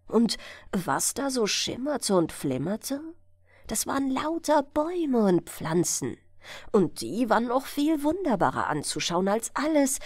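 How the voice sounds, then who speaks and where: Female audiobook narrator for bedtime fairy tales: unhurried, melodic intonation, gentle delivery, dry close sound. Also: on the high side, breathy edge, no echo.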